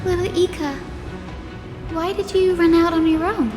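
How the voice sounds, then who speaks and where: A woman voicing an earnest, gentle young heroine in a fantasy video game, with a polite and caring manner. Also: very high-pitched, fairly even intonation, normal speed. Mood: curious, concerned. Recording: reverberant hall, music behind.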